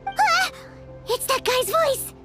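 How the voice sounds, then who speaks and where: A woman's voice: very high-pitched, expressive, takes it slowly, full character voice. A woman voicing a small, chatty female companion creature in a video game, who refers to herself by name. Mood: puzzled. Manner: chirpy, speaks of herself by name. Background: loud game music.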